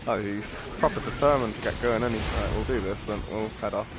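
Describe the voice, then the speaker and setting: A man's voice: on the low side, fast talker, heavy background noise. A man giving casual, chatty live commentary as he streams a game, rambling with matey asides. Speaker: gaming streamer. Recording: loud game music and gunfire behind. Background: loud game music, gunfire.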